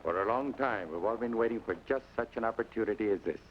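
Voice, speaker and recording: A man's voice: on the low side, a little hiss or hum, lightly acted. A man playing a wry Mexican police colonel. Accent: Mexican Spanish. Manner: dry, measured, ironic. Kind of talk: 1940s radio acting. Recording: dull old radio recording with music.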